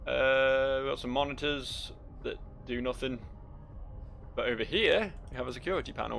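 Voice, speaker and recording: Casting: male let's-play host, mid-pitched with gravelly creak, wry casual commentary, faint game ambience behind. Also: a bit bright, a little hiss or hum. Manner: wry, sweary, reads game text aloud. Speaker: gaming video host.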